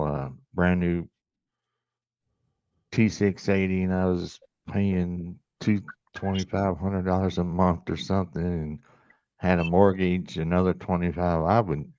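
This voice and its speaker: A man's voice: low voice, a little mellow, clear, resonant tone, no room sound. An older man with an American accent, talking casually as a caller in a chat. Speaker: caller, truck driver. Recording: dull lo-fi call audio.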